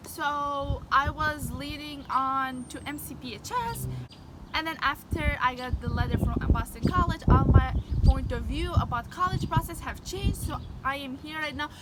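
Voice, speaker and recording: A high school senior girl whose first language is Arabic, earnestly explaining high school classes in a casual vlog recorded outdoors. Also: normal speed. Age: late teens. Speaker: vlogger. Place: outdoors on a windy day. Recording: wind and traffic noise outdoors. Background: wind and passing vehicles.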